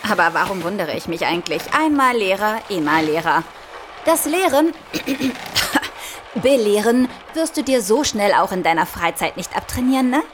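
A woman's voice: medium pitch, very animated, normal speed, slight background noise, lightly acted. A woman playing a practical, teasing daughter with a wry manner in an audio drama.

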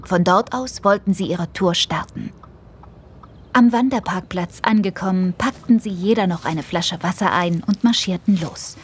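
A woman narrating a scripted audio story for children, expressively and with deliberate emphasis. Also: fairly low pitch, husky.

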